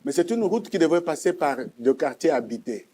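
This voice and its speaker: A man with a Congolese accent shares a religious testimony in a soft, mellow tone. His voice sits a little high for a man. He tells it as a story, emphatically, restating points for effect. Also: lively intonation, fairly quick, a little hiss or hum. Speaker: guest giving testimony.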